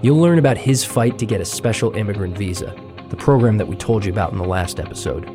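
A man hosting a podcast, reading scripted narration in a measured documentary way. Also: fairly low pitch, music in the background. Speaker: podcast host.

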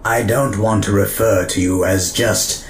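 Slow, deep, imperious old alien warlord. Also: male voice. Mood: grand, menacing. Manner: grandiose, deliberate, dry deadpan. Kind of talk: sci-fi audio drama acting. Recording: roomy echo, faint background noise.

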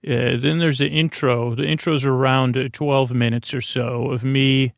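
A man's voice: mid-range voice, level tone, measured pace, creaky, no room sound, clean studio sound. A man hosting a bedtime podcast, rambling through a drowsy intro full of fillers and playful tangents. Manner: meandering, goes off on tangents and wordplay, many fillers. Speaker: podcast host.

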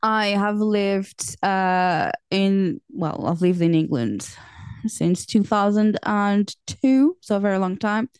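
A woman's voice: mid-range voice, takes it slowly, darkish tone, smooth, no echo. Portuguese-born woman host, friendly questions, dry close sound. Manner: friendly, asks several questions in a row, hesitant fillers. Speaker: interviewer, host. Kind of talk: casual interview chat.

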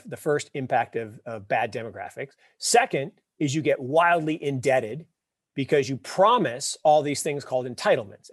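A man in his fifties, a podcast guest, telling wry stories and explaining ideas with emphatic, animated delivery. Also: mid-range voice, slightly rough, no room sound, clean studio sound. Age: 50s. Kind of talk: casual interview talk.

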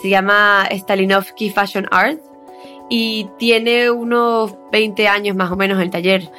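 A woman's voice: mid-range voice, fairly even intonation, takes it slowly, sharp tone, faint music behind. A woman reporter explaining a story conversationally, with frequent hesitations and restarts.

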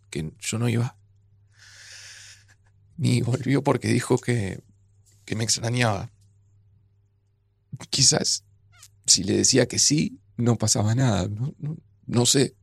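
A grieving man in a near-future audio drama. His voice is low and very creaky, with a Rioplatense accent. He speaks hesitantly and with resignation, recorded close and dry. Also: no room sound, full character voice. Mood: grieving, subdued. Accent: Rioplatense Argentine. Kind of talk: naturalistic audio drama dialogue.

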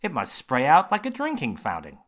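A man voicing an eager, grinning male surgeon who talks brightly and bluntly. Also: fairly high pitch, wildly swooping pitch, voice pushed up. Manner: bright, emphatic, quick.

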